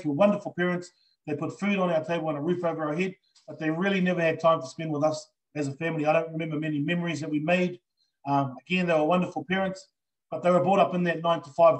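Earnest Kiwi man pitching a business. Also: low-quality recording. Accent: New Zealand. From New Zealand. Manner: earnest, enthusiastic, many fillers. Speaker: business presenter. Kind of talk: live online sales presentation. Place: indoors before a green backdrop.

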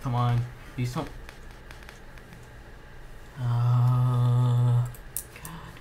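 A man giving casual, halting gameplay commentary as host of a gaming channel, slightly under the weather. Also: mid-range voice, flat delivery, dark tone, a little hiss or hum, low-quality recording.

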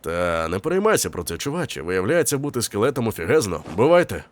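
A man plays an easygoing skeleton dude in a cartoon. The voice is low and lively, slangy and casual, with faint noise and clicks. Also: fast. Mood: contented. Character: laid-back male customer turned contented skeleton. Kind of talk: animated comedy fan dub.

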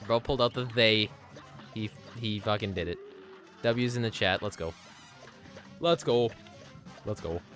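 A young man streaming a game live, joking with chat and reacting excitably, with yelps and laughs. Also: fairly low pitch, a little slow, long pauses, faint music behind. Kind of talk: live gameplay commentary.

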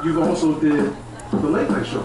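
A man's voice: fairly high pitch, steady tone, moderate pace, distant mic, over loud music. A man hosting a live event on a handheld microphone, with casual, slangy, jive-talking banter and repeated phrases. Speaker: radio show host and interviewer. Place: rooftop bar stage at a festival at night. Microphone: handheld stage microphone. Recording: echoey live PA from afar, loud crowd.

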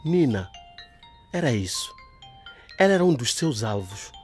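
A man narrating a scripted audio drama in a lively storytelling manner. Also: medium pitch, unhurried, soft background music.

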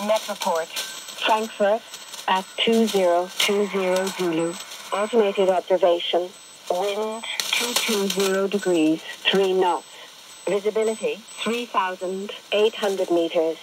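Slow female radio weather announcer, heavy static. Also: mid-range voice, steady tone. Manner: clipped, segmented, impersonal phrasing. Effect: shortwave single-sideband radio. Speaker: aviation weather broadcast announcer. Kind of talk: formulaic automated weather report readout. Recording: noisy shortwave radio reception. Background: radio static and noise.

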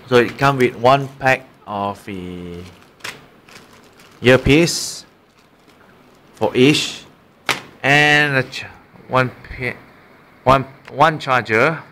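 A Malaysian man talks casually through a gadget demonstration in a medium-pitched, bright voice. He speaks haltingly, repeating words and correcting himself as he goes. The sound is amateur handheld camera audio from a small room, with slight background noise. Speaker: amateur product reviewer. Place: small room indoors at a desk. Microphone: built-in mic of a handheld camera.

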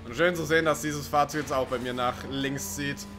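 A man's voice: medium pitch, steady tone, a little fast, music in the background. A man giving casual let's play commentary in a wry, sarcastic, joking way.

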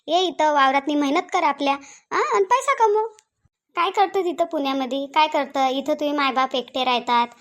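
An aging cartoon village mother, played by a woman, speaks in a very high voice with a Varhadi Marathi accent. She is plain-spoken and lonely, and she nags by saying things over again. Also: natural voice. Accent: Varhadi (Vidarbha) Marathi. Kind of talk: cartoon family drama dialogue.

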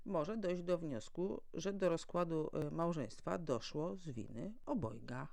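A woman's voice: sits low, measured pace, gravelly, a little mellow. A woman presenting a formal, prepared legal explainer as an educator, setting out points one by one in a didactic way.

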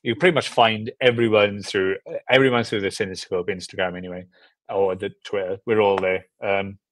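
A man's voice: fairly low pitch, steady tone, moderate pace, a bit bright, slight background noise. A man in his thirties chatting casually as an interview guest on a video call, often repeating words for emphasis. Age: 30s. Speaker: film director and producer, interview guest. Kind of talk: casual chat. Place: small furnished room at home, indoors. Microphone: video call with earbuds.

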